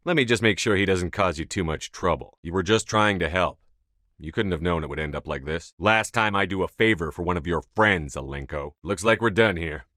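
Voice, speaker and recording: Low-voiced, commanding space soldier. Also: male voice, brisk, sharp tone, no room sound, studio quality. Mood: firm, resolute, then calm, businesslike, then weary, brusque. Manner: terse, authoritative, controlled. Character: stern, commanding male space soldier hero, adult. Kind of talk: video game dialogue acting.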